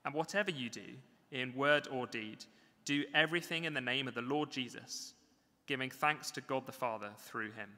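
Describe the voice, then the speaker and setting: A man reading a Bible passage aloud in church in a measured, deliberate way. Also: medium pitch, sharp tone, big-room sound. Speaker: scripture reader.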